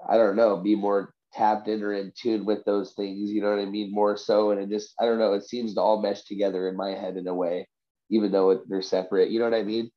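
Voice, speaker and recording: A man's voice: on the low side, flat delivery, fairly quick, lo-fi sound. A man from Vancouver with a congested, stuffy nose, sick and chatting casually as a guest, full of slangy bros and dudes. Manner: slangy, says bro, dude and you know. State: sick with a stuffy cold from covid. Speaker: podcast guest, hobby rapper and poet. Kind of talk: casual chat, personal storytelling.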